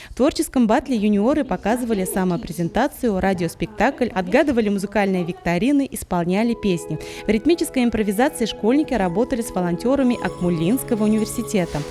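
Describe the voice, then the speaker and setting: A woman's voice: a little high, expressive, fast, hardly any pauses, dark tone. A woman reading a scripted news report as a reporter. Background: music bed.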